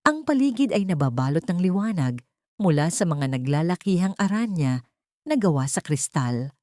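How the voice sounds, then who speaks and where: Low-voiced woman narrating a dramatic story. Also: very animated, normal speed, a little mellow, no room sound, studio quality.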